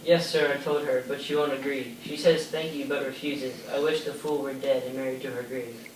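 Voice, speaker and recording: A man's voice: mid-range voice, flat delivery, fairly quick, a little hiss or hum, lightly acted. An actor playing a cold, impatient aristocratic mother who speaks curtly and dismissively. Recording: echoey room from far off, faint clicks.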